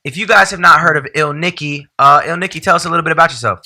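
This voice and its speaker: A man hosting a casual interview in a house, upbeat and hyping his guest with quick interjections. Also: fairly high pitch, sharp tone, a little hiss or hum. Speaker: podcast host. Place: indoors at a house.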